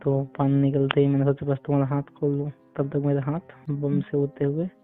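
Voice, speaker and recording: A man chatting casually and intimately on a phone call, halting as he searches for words. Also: medium pitch, completely flat delivery. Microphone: phone call recording. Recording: tinny phone line, music behind.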